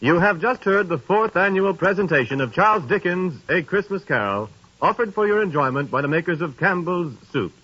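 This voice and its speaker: A man making formal, crisp announcements as a 1930s radio announcer. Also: sits high, lively intonation, brisk, bright, forceful tone. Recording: dull lo-fi 1930s radio broadcast with music.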